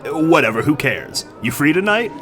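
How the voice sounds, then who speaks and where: A man's voice: fairly high pitch, very animated, a little fast, over music. A man playing a pushy, dismissive male pony flirt in an audio drama. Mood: brash, flirting. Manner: brash, dismissive.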